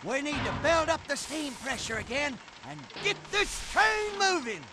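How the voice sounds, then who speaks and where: An actor playing a folksy, excitable, earnest train engineer in an animated video game, exclaiming in urgent rhymes. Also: male voice, normal speed, over loud music, heavily acted. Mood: earnest, encouraging. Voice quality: high-pitched, creaky. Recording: loud engine noise behind.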